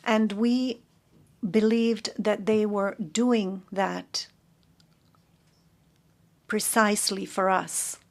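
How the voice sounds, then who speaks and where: Female court interpreter, middle pitch, very slow and halting, corrects herself. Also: steady tone, creaky. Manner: measured, halting, with self-corrections. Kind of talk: interpreting a formal statement.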